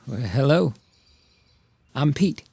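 Man acting a creaky, terse frontier drifter. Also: medium pitch, expressive, slow pace, warm tone, dry recording, exaggerated voice. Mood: awkward, subdued. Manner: terse, hesitant, understated. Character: taciturn, drifting 1890s farm man, awkward and guarded. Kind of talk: period film drama acting.

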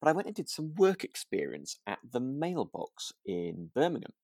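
A man's voice: medium pitch, wildly swooping pitch, normal speed, vocal fry, a little mellow, dry recording, studio quality. A British man co-hosting a podcast, chatting casually and telling stories in a self-deprecating, hesitant way. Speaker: podcast co-host. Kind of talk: casual anecdotal chat.

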